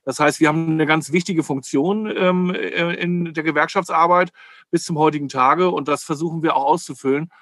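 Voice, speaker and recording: A man from Hamburg, a podcast guest who talks hesitantly and fills his pauses with many "äh" sounds. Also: on the high side, fairly even intonation, fairly bright tone, dry recording, clean studio sound. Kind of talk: casual chat.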